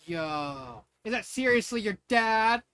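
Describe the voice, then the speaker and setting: A man's voice: high voice, expressive, slow, fairly bright tone, clear, resonant tone, pitched above the performer's own voice, exaggerated voice. A man voicing a brash but sincere young girl, the casual best friend in a fan fiction storybook. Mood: bewildered.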